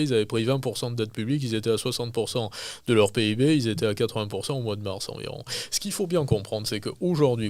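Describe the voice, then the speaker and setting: A man from France, speaking as a guest in a podcast interview, giving measured, emphatic, critical commentary. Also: medium pitch, a little mellow, no echo. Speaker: guest analyst.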